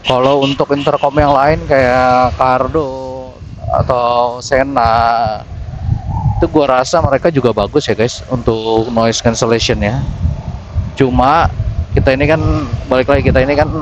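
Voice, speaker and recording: Jakarta man vlogging over clear road noise. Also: medium pitch, fairly even intonation, unhurried, pauses often, dull audio. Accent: Jakarta Indonesian. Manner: informal, slangy, thinking aloud. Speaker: motorcycle vlogger. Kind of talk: casual chat to viewers while riding. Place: outdoors on a motorcycle in city streets. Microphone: intercom mic a finger from mouth, inside helmet. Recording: helmet intercom mic, clear vehicle noise. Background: traffic and engine noise.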